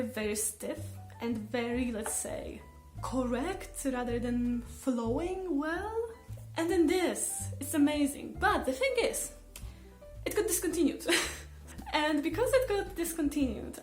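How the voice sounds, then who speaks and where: A woman vlogger chatting casually and enthusiastically to her audience, with emphatic phrasing. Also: high voice. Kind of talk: casual chat to camera about favourites. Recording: roomy echo, faint music behind. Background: faint background music.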